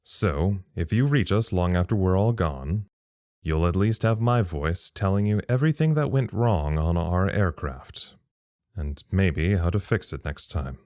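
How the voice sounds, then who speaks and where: An uneasy site log keeper, deep voice, very dry recording, reflective and confiding, audio drama monologue. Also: male voice, lively intonation, measured pace, darkish tone, no room sound. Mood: somber, reflective. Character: thoughtful, uneasy male log-keeper at a secret research site.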